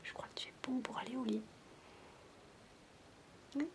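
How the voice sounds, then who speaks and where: Whispering woman, soft ASMR babysitter. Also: on the high side, fairly even intonation, normal speed. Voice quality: mostly whispered, breathy. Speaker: ASMR roleplayer playing a babysitter. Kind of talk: soft-spoken ASMR bedtime storytelling. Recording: noisy homemade recording without binaural mic.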